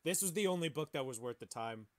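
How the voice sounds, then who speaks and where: A man's voice: on the high side, lively intonation, quick pace. A man co-hosting a podcast, bantering casually and reading aloud. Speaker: podcast host.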